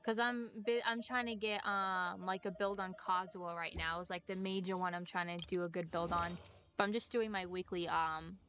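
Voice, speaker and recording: A woman's voice: on the low side, steady tone, moderate pace, fairly bright tone. A woman who streams games, chatting casually as she plays, with music behind her. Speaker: game streamer. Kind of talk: casual chat while playing a game.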